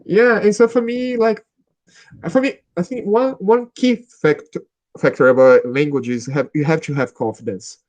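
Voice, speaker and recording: Brazilian man at a language meetup, halting English with many restarts, dry close sound. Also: fairly high pitch, steady tone, a little mellow, no room sound. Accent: Brazilian Portuguese. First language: Portuguese. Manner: hesitant, restarts often, laughs while telling stories. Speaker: language club member. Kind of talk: casual conversation practice.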